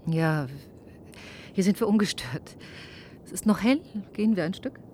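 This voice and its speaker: A woman's voice: mid-range voice, lively intonation, normal speed, a little mellow, a little hiss or hum, lightly acted. An actress playing an earnest, impassioned woman doctor in a German radio play, with an urgent, insistent manner. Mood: pleading.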